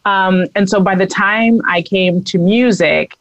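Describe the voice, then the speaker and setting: A woman singer in her thirties, from the American East Coast, chatting brightly and laughing as an interview guest. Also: medium pitch, steady tone, unhurried, dry recording, dull audio. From United States East Coast. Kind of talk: casual interview talk.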